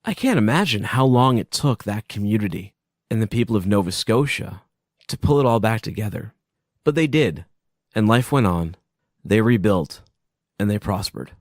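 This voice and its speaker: A man's voice: fairly low pitch, lively intonation, normal speed, no echo, studio quality. A man reading history and reflecting on it in an earnest, musing way. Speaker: podcast host. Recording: music bed behind the voice.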